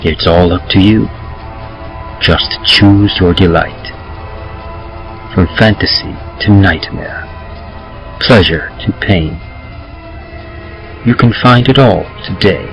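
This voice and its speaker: A man giving a measured, theatrical reading of horror poetry, like a carnival barker. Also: low-pitched. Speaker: podcast host and reader. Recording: slightly muffled lo-fi recording. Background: music.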